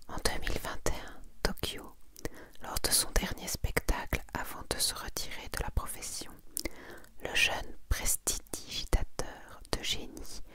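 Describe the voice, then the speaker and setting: A woman whispering in a breathy, intimate way, as the host of an ASMR video speaking to one listener. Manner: soft, intimate, addresses one listener informally. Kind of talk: whispered ASMR, chatting and reading out manga summaries.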